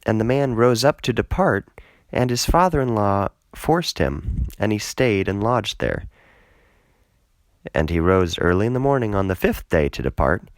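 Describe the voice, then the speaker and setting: Deep-voiced man reading scripture, dry recording. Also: animated, moderate pace, no echo. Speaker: audiobook scripture reader. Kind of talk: scripted narration, Bible reading.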